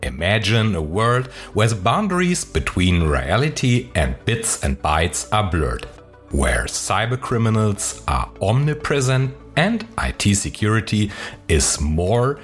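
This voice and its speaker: A man's voice: fairly low pitch, big pitch swings, measured pace, soft background music. A man hosting a podcast with an upbeat, promotional scripted introduction. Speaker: podcast host.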